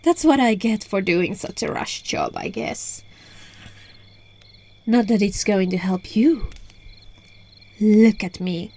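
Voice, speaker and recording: A woman's voice: medium pitch, dark tone. A woman voicing a seductive, teasing, controlling witch in an ASMR roleplay, coaxing the listener, recorded on a phone microphone. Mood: stern, controlling. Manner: slow, coaxing, breaking into whispers and giggles.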